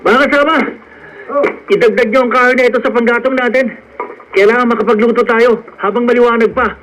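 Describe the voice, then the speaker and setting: An earnest young male farmhand in a radio drama, with a high voice and a lovestruck, determined manner. There is some noise in the recording. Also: steady tone, brisk. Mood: concerned. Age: young adult.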